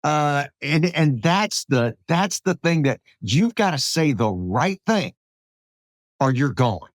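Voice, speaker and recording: Male American podcast host, higher-pitched, very lively intonation, conversational and animated. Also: slow, dry recording, clean studio sound. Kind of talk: casual conversational interview.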